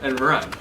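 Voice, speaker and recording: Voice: very high voice, very noisy. A tabletop player improvising short action narration for a character. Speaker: tabletop role-playing player. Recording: echoey, distant, loud music behind.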